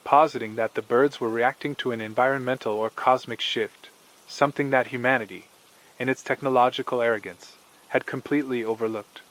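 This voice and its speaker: Male narrator for scripted horror fiction. Mid-pitched voice, measured and formal storytelling delivery. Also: fairly even intonation, a bit bright, rough recording.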